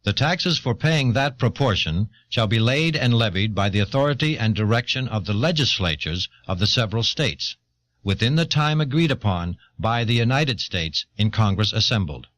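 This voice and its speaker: A man's voice: on the low side, lively intonation, moderate pace, fairly bright tone. A man playing a stern, formal reader who recites an old legal charter in an audio history program.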